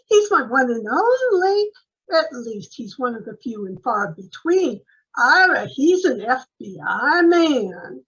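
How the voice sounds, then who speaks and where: A woman's voice: high voice, sing-song, slow pace, fairly bright tone, breathy edge, dull audio, exaggerated voice. A woman playing a cheerful, love-struck, naive housemaid in an old-time radio comedy, earnestly muddling her words with a drawl. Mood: proud, chatty.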